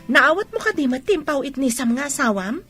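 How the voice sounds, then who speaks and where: An actress playing a nosy friend who asks questions and passes on news in an Ilocano radio drama. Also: female voice, high voice, lively intonation, fairly quick, a bit bright, faint music behind. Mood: prying.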